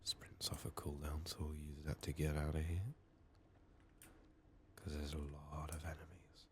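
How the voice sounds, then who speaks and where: Very deep, soft-spoken man, half whispering. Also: flat delivery, a little hiss or hum. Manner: murmured, halting, slipping into whispers. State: sick, strained voice. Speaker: ASMR gaming video host. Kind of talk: soft-spoken, whispered ASMR game commentary.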